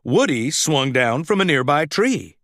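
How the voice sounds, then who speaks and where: A man narrating a scripted children's story in a dramatic, expressive, deliberate way. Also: a little high, dry recording, studio quality.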